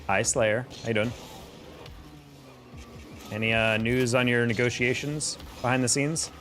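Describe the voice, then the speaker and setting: A man's voice: medium pitch, fairly even intonation, a little slow, a bit bright, soft background music. A man chatting casually with his viewers while playing a game on a live stream, exasperated and laughing often. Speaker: streamer and caster.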